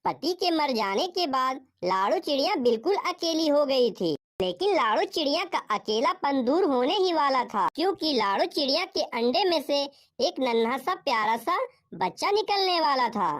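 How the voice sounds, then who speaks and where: A woman's voice: sits high, sing-song, normal speed. A woman narrating a scripted cartoon story as its storyteller.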